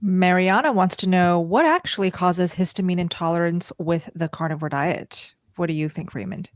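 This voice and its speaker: A woman with a fairly low voice moderating a live Q&A and reading out viewer questions. Her delivery is clear and direct, and the sound is dry and close. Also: moderate pace, dry recording.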